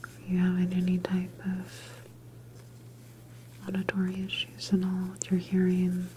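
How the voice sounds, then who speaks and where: A woman whispering softly and breathily in an ASMR medical roleplay as a calm, reassuring doctor examining a patient. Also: on the low side, a little hiss or hum, poor audio. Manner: gentle, unhurried, reassuring bedside manner. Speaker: ASMR performer playing a government facility doctor.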